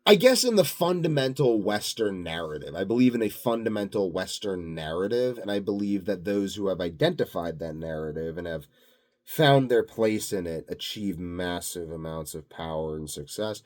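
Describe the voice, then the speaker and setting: Male vlogger, low register, big swings in intonation; self-assured, grandiose, thinking out loud in an unscripted ramble. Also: lively intonation, normal speed. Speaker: self-styled health and sleep expert vlogger. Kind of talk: rambling unscripted monologue to camera.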